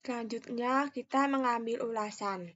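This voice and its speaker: A young adult woman, a student narrating a step-by-step software tutorial in a careful, halting, explanatory way, on a dull lo-fi recording. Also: a little high. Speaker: university student presenter.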